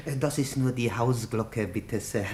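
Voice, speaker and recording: An actor playing a servile, fussy hotel night porter with an Austrian accent in a radio drama. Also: male voice, medium pitch, a little slow, natural voice. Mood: deferential. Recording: echoey room with clear noise.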